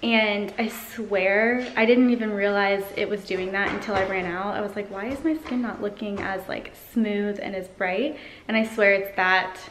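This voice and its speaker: A woman's voice: medium pitch, fairly even intonation, moderate pace. An American woman in her thirties chatting casually to camera as a vlogger, in an informal way full of "like". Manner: informal, peppered with "like". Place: indoors, large high-ceilinged house room. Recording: echoey room, faint noise.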